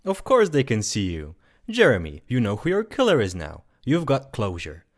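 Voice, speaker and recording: A man's voice: mid-range voice, huge pitch swings, normal speed. A man playing a casual, offhand guide to the spirit world in an audio drama.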